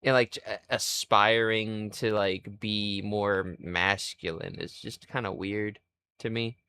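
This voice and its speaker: A man in his twenties streaming live, rambling in a crude, self-mocking way and thinking out loud. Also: on the low side, steady tone, takes it slowly, smooth. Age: 20s. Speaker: livestreamer. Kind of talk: casual livestream chat.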